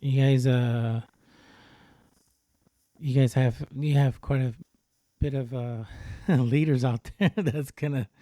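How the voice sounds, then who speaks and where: A man's voice: mid-range voice, fairly even intonation, slow, pauses often, dark tone, no echo, studio quality. A man hosting a podcast, chatting casually and easygoingly with many fillers. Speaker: podcast host. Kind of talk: casual chat.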